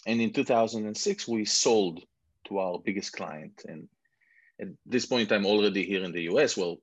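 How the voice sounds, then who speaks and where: Israeli man in his forties, husky and a touch low, dry close sound, rambling self-mocking storyteller. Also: normal speed, no echo. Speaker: guest entrepreneur. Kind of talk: casual interview chat. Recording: dull muffled lo-fi sound.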